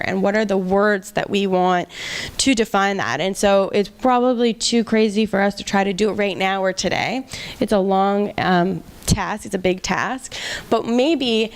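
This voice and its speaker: Woman seminar instructor, smooth clear voice, casual explaining tone. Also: medium pitch. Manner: thinks aloud with fillers and restarts. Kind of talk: conversational workshop teaching. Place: seminar room.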